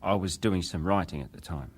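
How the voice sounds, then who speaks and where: An actor playing a young British wireless operator giving testimony in a formal, deferential, precise way, as in a dramatized hearing. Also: male voice, deep voice, fairly quick, a little mellow. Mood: precise, factual.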